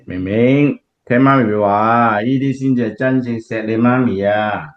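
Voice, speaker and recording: A man's voice: mid-range voice, steady tone, a little slow, natural voice. Man playing an earnest Cantonese preacher, slightly muffled. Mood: approving. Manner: explanatory, earnest, emphatic. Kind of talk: radio drama acting, sermon-like.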